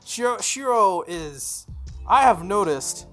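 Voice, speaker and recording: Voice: mid-range voice, lively intonation, takes it slowly, fairly bright tone. A gaming YouTuber reading a visual novel aloud and breaking off for casual, jokey commentary. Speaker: gaming YouTuber, let's player. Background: game music.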